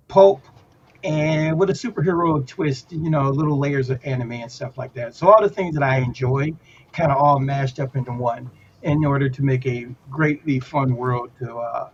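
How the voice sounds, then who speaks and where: A man's voice: medium pitch, moderate pace, dull audio, slight background noise. A man guest who designs games, talking casually with many ums. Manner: relaxed, lots of ums. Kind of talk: casual chat.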